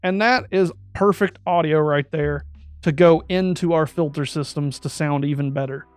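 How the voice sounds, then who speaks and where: High-voiced man walking through settings. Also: normal speed, smooth, dry recording. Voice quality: describes his own voice as high-pitched. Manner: talks through steps aloud, corrects himself mid-sentence. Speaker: streamer explaining audio setup. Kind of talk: step-by-step tutorial walkthrough. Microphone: USB desk microphone.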